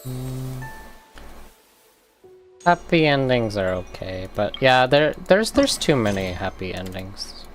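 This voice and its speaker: A man's voice: medium pitch, very animated, slow, pauses often, soft background music. A man streaming a game, chatting casually in a rambling, hesitant way. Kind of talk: casual chat over gameplay.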